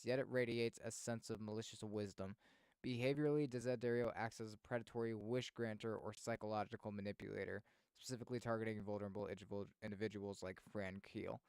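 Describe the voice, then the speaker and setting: A man streaming casual reactions and commentary, expressive and thinking aloud as he goes. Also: mid-range voice, brisk, smooth, no room sound.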